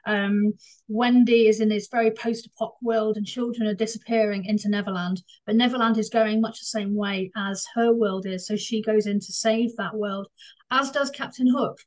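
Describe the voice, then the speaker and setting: A woman's voice: mid-range voice, a little mellow, low-quality recording. A woman co-hosting a podcast, talking enthusiastically and rambling about her writing plans. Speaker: co-host and author. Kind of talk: casual interview chat.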